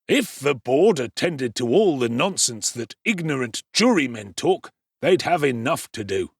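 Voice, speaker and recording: A pompous, hot-tempered beadle blusters with contempt, his pitch swinging widely, as a man performs him. His tone is husky and close-miked in a dry dramatic audiobook. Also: sing-song, a little slow, dry recording. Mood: scornful. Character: pompous middle-aged male parish beadle, quick-tempered.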